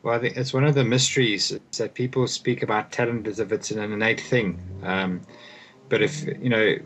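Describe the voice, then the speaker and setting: A man's voice: lowish voice, steady tone, moderate pace, a bit bright, low-quality recording. A young man from South Africa, a guest author, giving thoughtful conversational answers and often repeating words as he restarts sentences. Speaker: guest author and performance coach.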